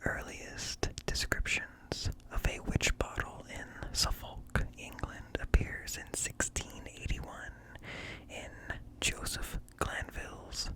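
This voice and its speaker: A man whispering an ASMR reading in a hushed, deliberate way, very close to the microphone. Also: poor audio. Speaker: ASMR host reading articles. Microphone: close up, ear-to-ear stereo.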